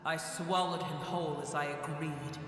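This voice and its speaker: An actor voicing a proud, scornful ancient dragon in a video game, speaking slowly and solemnly. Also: mid-range voice, fairly even intonation, natural voice. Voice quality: slow, grave and solemn. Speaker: game character. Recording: heavy cavernous echo with long reverb.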